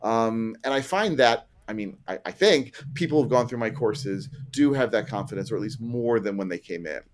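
A man's voice: fairly low pitch, expressive, normal speed. Middle-aged man explaining casually as podcast guest. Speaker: interview guest, programming trainer.

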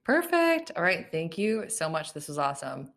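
Woman, Midwestern accent, podcast host, low voice, enthusiastic, casual. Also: very animated, normal speed, fairly bright tone. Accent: Midwestern American. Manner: upbeat, enthusiastic, laughs often. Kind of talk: casual interview chat.

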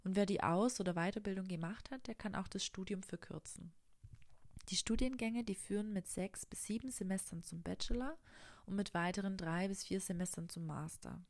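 A woman with a cold hosting an informative podcast, explaining things in an even monologue with the odd filler. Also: mid-range voice, moderate pace. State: has a cold. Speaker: podcast host.

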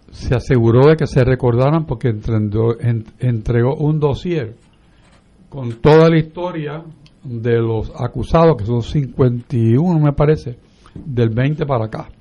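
A man with a Puerto Rican accent hosts a political radio talk, speaking slowly and deliberately. His voice is mellow and dark. The sound is dull and lo-fi. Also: mid-range voice. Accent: Puerto Rican Spanish. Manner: deliberate, measured.

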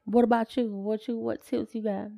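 Creaky female voice, middle-aged, dry close sound, colloquial podcast chat. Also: fairly high pitch, level tone, normal speed, warm tone, no echo, rough recording. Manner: colloquial, conversational. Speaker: podcast co-host. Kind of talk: casual chat.

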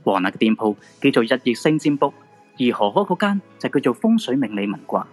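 A man's voice: medium pitch, big pitch swings, a little fast, soft background music. A man with a Hong Kong Cantonese accent narrating a radio drama in scripted, dramatic storytelling style.

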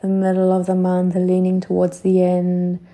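A woman in her twenties hosts her own podcast, thinking aloud in a slow, unhurried ramble. Her voice sits a little low and sounds dark and mellow, with a gravelly edge. She fills her pauses with soft fillers and drops into quiet whispered asides. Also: monotone. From South Africa. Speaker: podcast host. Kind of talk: casual reflective monologue.

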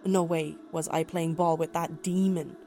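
A woman's voice: sits low, level tone, a little slow, gravelly, warm tone. A woman acting a candid, wry young woman who recalls a doomed romance in poetic, darkly comic radio play narration, confiding and wistful. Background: music.

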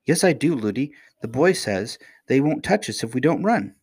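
A man's voice: mid-range voice, big pitch swings, normal speed, darkish tone, slightly rough, pitched above the performer's own voice. A man voicing an affectionate little princess in a storybook, a young girl with an earnest, polite, childlike manner.

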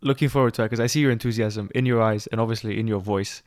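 A man's voice: lowish voice, steady tone, fast talker, dry recording, clean studio sound. A man hosting an interview who asks long, rambling questions full of fillers and side remarks. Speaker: podcast host. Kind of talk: conversational interview.

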